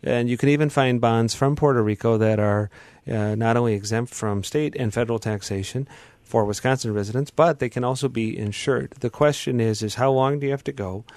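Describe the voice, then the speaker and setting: A man hosting a radio advice show, explaining taxes and bonds in a measured way with dry humor. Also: mid-range voice, darkish tone, no echo. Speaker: financial advice host.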